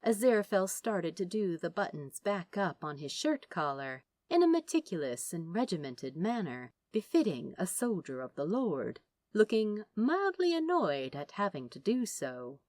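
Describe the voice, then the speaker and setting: A woman narrating a piece of fiction from a script in an expressive storytelling style. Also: fairly low pitch, moderate pace, dry recording. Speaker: podfic narrator.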